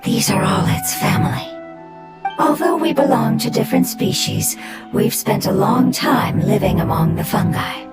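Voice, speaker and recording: A performer voicing a small forest spirit creature in a video game, who speaks in a formal, solemn, breathy way. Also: mid-range voice, very animated, normal speed, lightly acted. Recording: loud game soundtrack playing behind. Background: loud game soundtrack music.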